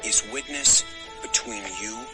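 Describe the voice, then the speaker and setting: An actor playing a possessive, solemn patriarch in a dramatized scripture recording, speaking in emphatic declarations. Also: male voice, mid-range voice, slow pace, over loud music, close to an everyday voice. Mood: solemn, warning. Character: Laban, possessive, solemn patriarchal father-in-law. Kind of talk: dramatized audio scripture acting.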